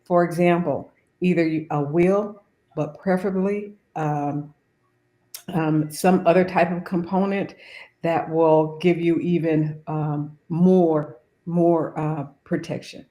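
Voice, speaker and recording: An older woman on a talk show panel giving advice in a hesitant way, with many pauses and fillers. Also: sits low, fairly even intonation, warm tone.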